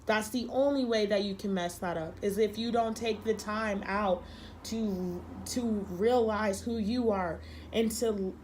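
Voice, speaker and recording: Young female tarot reader, slightly hoarse, earnest and emphatic, light background hiss. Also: medium pitch, a little slow, a little mellow. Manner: earnest, emphatic, repeats herself. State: losing her voice, a little sick. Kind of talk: tarot reading monologue.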